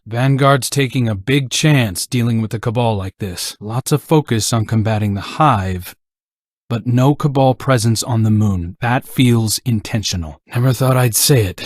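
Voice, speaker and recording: A man's voice: lowish voice, dry recording, clean studio sound, close to an everyday voice. A man playing an earnest, slightly awkward young scout in a video game, muttering casually as if to himself. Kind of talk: video game character voice lines.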